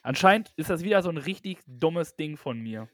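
A man's voice: a little high, expressive, a little fast, fairly bright tone, no room sound, clean studio sound. A man co-hosting a podcast, playing a casual guessing game, teasing and laughing often. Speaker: podcast co-host.

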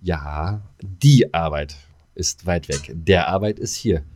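A man's voice: low voice, takes it slowly, darkish tone. A man co-hosting a podcast in casual banter, laconic and dryly joking. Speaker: podcast co-host.

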